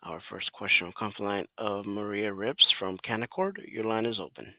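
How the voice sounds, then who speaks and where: A man's voice: fairly low pitch, steady tone, a little fast, no echo. A man giving formal, scripted procedural instructions as a conference call operator. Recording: slightly dull close phone audio.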